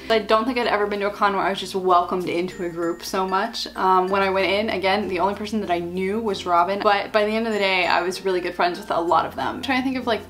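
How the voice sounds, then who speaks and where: A young woman in her twenties chatting animatedly to a webcam in a small bedroom, telling stories and acting out what her friends said. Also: mid-range voice. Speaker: vlogger. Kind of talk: casual storytime chat.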